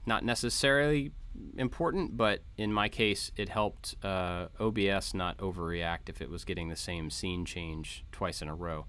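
Low-pitched man explaining code informally. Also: moderate pace, a bit bright. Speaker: host of a maker tutorial channel. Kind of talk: informal code walkthrough narration. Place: voice-over for a screen recording.

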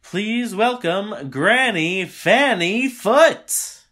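A man's voice: high-pitched, lively intonation, extremely slow, sharp tone, heavily acted. A man playing a showy comedy contest announcer in an audio drama, introducing acts as an emcee.

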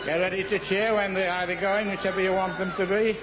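High-voiced Yorkshire man, bantering commentator. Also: flat delivery, fast. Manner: bantering, cheeky, chuckling. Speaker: game show presenter and commentator. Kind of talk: jokey live sports-style commentary. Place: outdoor park event. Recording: outdoor event, crowd and background noise.

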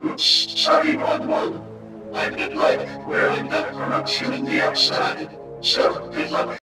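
A man voicing a taunting, clumsy dark spirit villain in an amateur cartoon dub. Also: a little slow, natural voice. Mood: flustered, taunting. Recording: roomy, distant, loud music behind.

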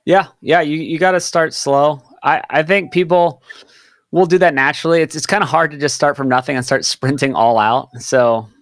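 A man's voice: on the high side, moderate pace, no room sound. A man in his thirties from Hawaii, hosting a podcast from a home studio and talking eagerly with many repeated words and restarts. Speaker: podcast host. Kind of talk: casual interview chat. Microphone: studio mic on boom.

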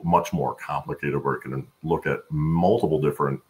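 A middle-aged man with a gravelly voice, answering conversationally as an interview guest on a video call. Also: rumbling low, fairly even intonation, normal speed, darkish tone. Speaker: podcast guest, supply chain executive. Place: indoor room at home, on a video call.

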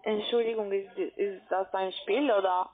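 A woman's voice: medium pitch, steady tone, unhurried. A woman with a foreign accent, not native in German, asking scripted questions as a telesales agent. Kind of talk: scripted sales call questioning. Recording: tinny telephone line.

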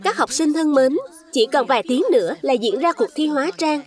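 A woman's voice: high-pitched, lively intonation, fairly quick, faint music behind. A woman voicing a formal, instructive fruit school principal in a cartoon dub. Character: female fruit school principal addressing her pupils.

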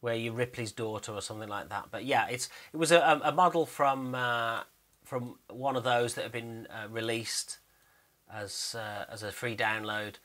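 A man's voice: mid-range voice, steady tone, normal speed, fairly bright tone. A man giving a casual, unscripted commentary over a screen recording, explaining his animation work and thinking aloud as he goes. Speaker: 3D animator narrating behind-the-scenes work.

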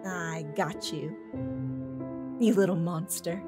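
A woman's voice: on the low side, steady tone, slow, over music. A woman playing a caring, affectionate young wife and mother in an audio drama. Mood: playful.

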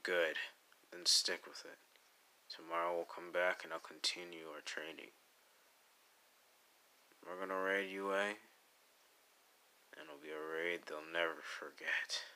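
Brooding young male villain for anime ASMR roleplay, played by a man: very low, gritty with fry, menacing, deadpan, grudgingly fond. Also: exaggerated voice, low-quality recording. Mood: gruff, grudgingly fond. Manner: deadpan, slow, irritable, teasing threats. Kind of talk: ASMR anime audio roleplay, second-person.

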